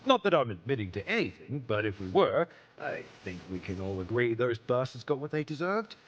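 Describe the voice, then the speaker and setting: An actor playing a cocky human smuggler captain in a video game, a mouthy war veteran with a brash, sarcastic manner. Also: male voice, mid-range voice, very animated, brisk, muffled recording, a little hiss or hum, close to an everyday voice. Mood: defiant. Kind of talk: video game cutscene acting.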